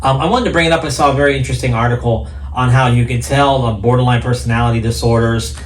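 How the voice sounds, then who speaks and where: A man's voice: medium pitch, fairly even intonation, fast talker. A man co-hosting a casual podcast, easygoing and teasing, with many fillers. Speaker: podcast co-host, counselor. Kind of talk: casual chat. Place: studio office. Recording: echoey room, mic at a distance, faint noise.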